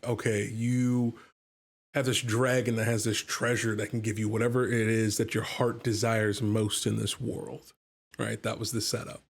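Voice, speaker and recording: A man's voice: on the low side, gravelly. A man hosting a casual podcast, talking enthusiastically in a rambling way with lots of fillers and repeated words. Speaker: podcast host and game master.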